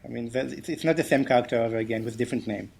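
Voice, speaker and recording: A man's voice: mid-range voice, racing, vocal fry, a little mellow, slightly rough. A man whose first language is Hebrew, a guest on a podcast interview, speaking English hesitantly with many repeated words and restarts. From Israel. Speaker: guest, game translator. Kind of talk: podcast interview over a call.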